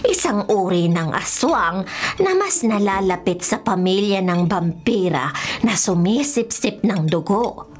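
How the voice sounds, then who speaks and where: A woman's voice: mid-range voice, animated, unhurried, breathy edge. A woman playing a superstitious old grandmother in a radio horror drama, dramatic and full of warning. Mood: ominous warning.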